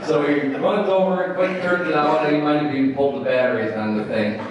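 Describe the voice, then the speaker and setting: A middle-aged or older man telling informal anecdotes in a halting, amused way, as a speaker at a gathering. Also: fairly high pitch, fairly even intonation, highs cut off. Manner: halting, chuckles through his stories. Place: echoey room at a memorial gathering. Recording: echoey room, distant mic, faint noise.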